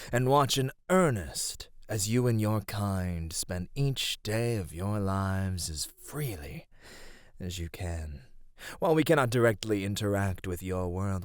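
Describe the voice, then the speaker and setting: A man playing a gentle, flirty immortal god of radiance in an ASMR roleplay, speaking softly and formally. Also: fairly low pitch, dry recording, studio quality. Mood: calm, earnest. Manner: soft, formal, tender speech.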